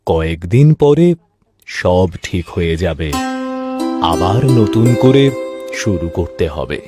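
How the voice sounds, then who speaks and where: Man playing a mild village elder, animated delivery. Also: low voice, slow, music in the background, heavily acted. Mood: calm, reassuring. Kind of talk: cartoon voice acting.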